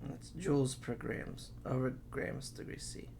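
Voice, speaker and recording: A man's voice: mid-range voice, unhurried, gravelly, a little mellow, a little hiss or hum. A man teaching chemistry, working through problems step by step and saying okay often, while losing his voice. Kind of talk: recorded classroom lecture.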